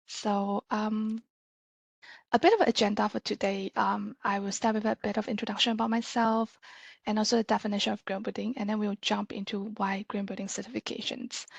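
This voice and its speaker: A woman in her thirties with a Malaysian accent, giving an informal, self-deprecating guest lecture in a halting way with repeated words. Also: mid-range voice, creaky, dry recording. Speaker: guest lecturer, sustainability consultant. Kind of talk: casual presentation lecture.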